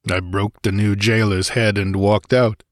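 Guy doing a blunt growly barbarian fighter for a fantasy audiobook, kinda low, dry sound. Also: level tone, measured pace, no echo, natural voice. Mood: blunt, grim. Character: gruff fearless barbarian warrior, blunt and uneasy with sorcery. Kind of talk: dramatized audiobook fantasy adventure.

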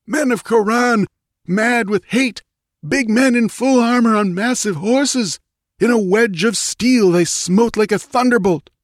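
Shrieking, high-pitched doomed skull-faced priest. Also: male voice, measured pace, no room sound, studio quality. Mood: frantic, despairing. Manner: screaming, desperate battlefield report. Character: skull-headed dying priest, frantic, shrieking through a crystal. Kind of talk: dramatic audiobook character voice.

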